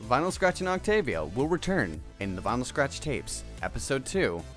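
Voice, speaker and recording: A man's voice: medium pitch, big pitch swings, moderate pace. A man reading scripted end credits as the announcer of an audio drama. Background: music.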